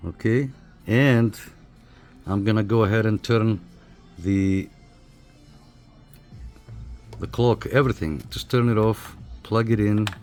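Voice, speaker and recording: A man with a fairly low voice walks through gear in a casual review. He speaks very slowly and haltingly, explaining things informally. Faint music plays behind him. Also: darkish tone. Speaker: radio hobbyist reviewer. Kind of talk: casual hands-on equipment review. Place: small apartment room.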